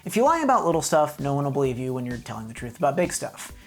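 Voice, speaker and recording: A woman delivers scripted comic review narration. Her voice is low for a woman, with lively swings in pitch and emphatic, mock-serious phrasing. Soft music plays underneath. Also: fast talker. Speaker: video reviewer, host.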